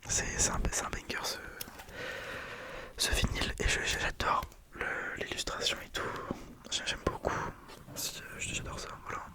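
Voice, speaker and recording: Rambling whispered late-night vlogger. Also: normal speed. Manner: whispering, rambling, often correcting themselves. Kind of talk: whispered vinyl haul vlog.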